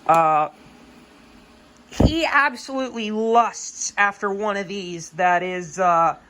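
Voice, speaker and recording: A woman's voice: medium pitch, slow pace, fairly bright tone, rough recording. A woman of about twenty giving hobby advice in a casual, unscripted rant, with an emphatic, folksy, colloquial way of talking.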